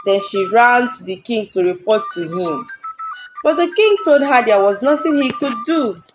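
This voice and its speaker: A woman's voice: a little high, moderate pace, music in the background, poor audio. A woman working as a storyteller, narrating a scripted folktale.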